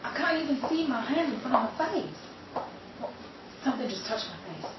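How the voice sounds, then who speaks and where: A woman playing a frightened young woman alone in the dark in an audio horror drama, anxiously talking to herself. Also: sits high, big pitch swings, fairly quick. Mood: fearful. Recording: echoey, distant, muffled, noisy room recording.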